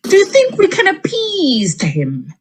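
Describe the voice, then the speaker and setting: Slow and hugely varied in pitch, a woman acts out an ominous superheroine leader. Her drama reading is portentous and resolute, over a noisy home call with clicks. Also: huge pitch swings, exaggerated voice. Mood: wary. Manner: drawn-out, portentous delivery.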